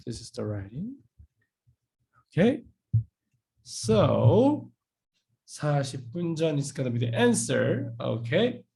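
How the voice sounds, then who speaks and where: A man who speaks English, teaching in a live online class. He explains patiently, repeats words slowly and acts out short dialogues. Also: medium pitch, vocal fry, warm tone. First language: Korean. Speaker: Korean language teacher.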